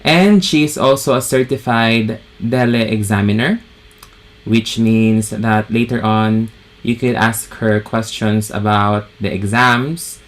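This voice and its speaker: Slow-speaking man introducing a guest. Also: lowish voice, fairly even intonation. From Philippines. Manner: measured, formal introduction. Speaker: host.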